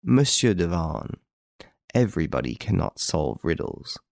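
Proud English master detective for an audiobook, male reader, low creaky voice, haughty, controlled, deliberate. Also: very animated, darkish tone, no echo, heavily acted. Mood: smug.

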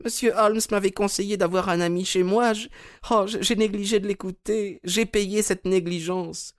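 A man voicing a pale, frail older widow in an audiobook, speaking softly and plaintively in a raised voice. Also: high-pitched, fairly even intonation, moderate pace, voice pushed up, close to an everyday voice. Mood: weary plaintive. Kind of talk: audiobook character reading.

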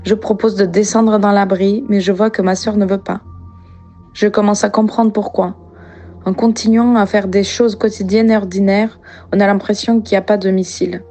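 A woman reading a first-person diary entry in a measured, introspective way. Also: mid-range voice, mellow. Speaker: diarist.

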